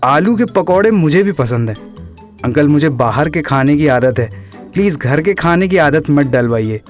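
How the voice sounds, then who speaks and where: An earnest, courteous young man, played by a male actor in an audio drama. The voice is rather high, over light music. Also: expressive, moderate pace, natural voice. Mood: earnest, polite. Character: polite, earnest young man explaining himself at length.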